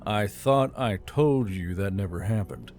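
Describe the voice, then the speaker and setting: A man voicing a stern, wary commander in a fantasy audiobook, speaking in a flat, low-key way. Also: measured pace. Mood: annoyed. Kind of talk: audiobook character dialogue.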